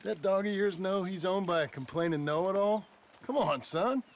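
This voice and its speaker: Gruff, gravelly Western rancher father. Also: high-pitched. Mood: wry, gentle. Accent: American Western. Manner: folksy, halting. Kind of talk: naturalistic video game acting.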